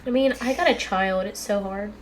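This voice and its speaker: A woman's voice: medium pitch, moderate pace, a little hiss or hum, rough recording. A woman co-hosting a podcast, heavily congested, giving short agreeing replies in casual chat. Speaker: podcast co-host.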